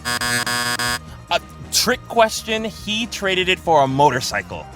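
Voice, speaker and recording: A man's voice: medium pitch, expressive, slow pace, over music. A man trading playful banter as a contestant in a trivia game.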